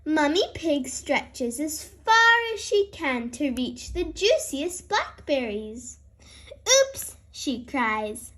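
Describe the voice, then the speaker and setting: A woman's voice: sits high, big pitch swings, slow pace, few pauses, a bit bright. A woman reading a children's picture book aloud as host, expressively and aimed at young listeners. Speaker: host and read-aloud storyteller.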